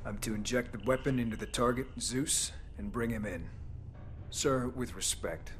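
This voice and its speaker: An actor playing a dutiful army captain in a video game, respectful and formal toward superiors. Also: male voice, mid-range voice, normal speed, slight background noise, lightly acted. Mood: respectful, guarded. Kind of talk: scripted video game dialogue.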